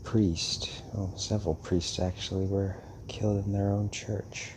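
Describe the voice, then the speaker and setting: A man playing a calm, fatherly old vampire mentor in an intimate audio roleplay, musing hesitantly with many pauses. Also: lowish voice, noisy background, lightly acted. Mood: pensive, grave.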